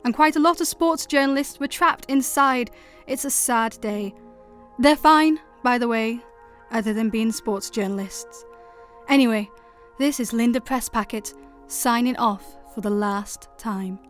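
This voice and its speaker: A woman's voice: a little high, measured pace, soft background music, close to an everyday voice. A woman with a Scottish accent acting a scheming, snide newspaper reporter in a comic radio play. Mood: mock-solemn. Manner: theatrical, drily snide.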